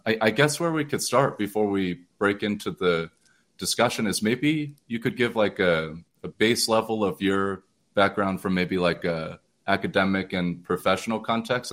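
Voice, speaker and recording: A man's voice: low voice, a bit bright. An American man hosting a podcast interview, introducing his guest in a loose, rambling way with many fillers and restarts. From United States. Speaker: podcast host.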